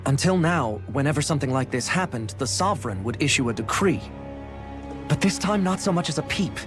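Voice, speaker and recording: Male actor as anxious official, raised pitch, formal urgent talk, video game cutscene, music. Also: expressive, a little fast, close to an everyday voice. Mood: worried. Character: worried official reporting unrest to his lord.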